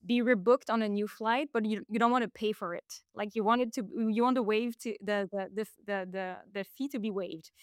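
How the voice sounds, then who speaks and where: A woman's voice: mid-range voice, fairly even intonation, a little fast, few pauses, smooth, no echo, studio quality. A woman from Quebec with a Quebec French accent, speaking English as a second language, explaining things as an interview guest with many fillers and restarts. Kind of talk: casual interview.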